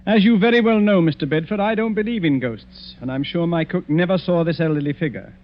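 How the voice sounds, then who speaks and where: Actor as urbane titled gentleman, fairly high, old radio. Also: fairly quick, close to an everyday voice. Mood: urbane, sly. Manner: urbane, composed, dryly courteous. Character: cool, courteous titled gentleman host slyly laying a trap. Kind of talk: 1940s radio drama acting. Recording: dull, faintly noisy old radio recording.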